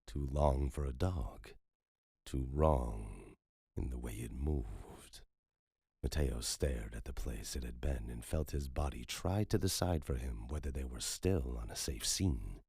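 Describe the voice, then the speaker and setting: Very deep, husky male horror narrator. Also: unhurried, warm tone, no room sound, clean studio sound. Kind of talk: scripted horror fiction narration.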